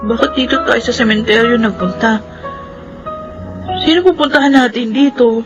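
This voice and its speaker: A woman's voice: fairly high pitch, moderate pace, over loud music, close to an everyday voice, low-quality recording. A woman with a Filipino accent playing a proud, emotional young mistress and daughter in a radio drama. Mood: confused, anxious.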